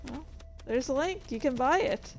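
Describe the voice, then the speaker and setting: A woman streamer chatting casually, rambling and interrupting herself with playful excitement. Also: sits high, moderate pace, soft background music. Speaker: streamer and author. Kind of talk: casual livestream chat.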